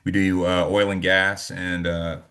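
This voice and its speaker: A man from South Carolina explaining his work as a panelist in a measured, informal professional way. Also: sits low, level tone, a bit bright. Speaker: panelist, in-house litigator. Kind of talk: informal professional self-introduction.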